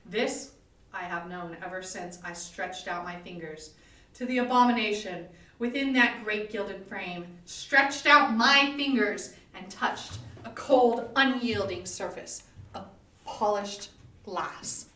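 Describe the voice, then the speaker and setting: A woman voicing a lonely, melancholy outcast in a solemn, measured, brooding reading of gothic horror. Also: medium pitch, pushed and bright. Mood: haunted, dread. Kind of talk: dramatic literary reading. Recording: echoey room, distant microphone.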